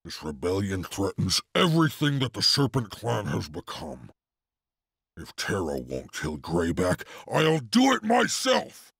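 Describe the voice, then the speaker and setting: An actor playing a cruel, vengeful warlord in a fantasy game, with a raspy growl and menacing, theatrical delivery. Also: male voice, sits low, huge pitch swings, measured pace, a bit bright, no room sound, studio quality, heavily acted. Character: cruel male Serpent Clan warlord, whip-wielding, vengeful, leering. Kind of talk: dramatic fantasy game voice acting.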